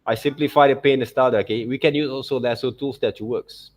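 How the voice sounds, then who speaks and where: A man giving an unscripted step-by-step tutorial as an art teacher, halting and repetitive, often checking in with short tag words. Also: mid-range voice, steady tone. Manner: halting, repetitive phrasing with frequent "okay, right, see" checks. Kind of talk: unscripted step-by-step drawing demonstration.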